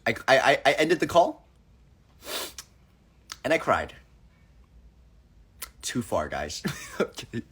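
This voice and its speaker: A man's voice: medium pitch, sing-song, slow pace, pauses often, fairly bright tone, slightly rough. Young guy on a phone livestream, joking around, voice bouncing all over the place. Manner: playful, acts out voices in his stories. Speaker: livestream host. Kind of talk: casual livestream chat.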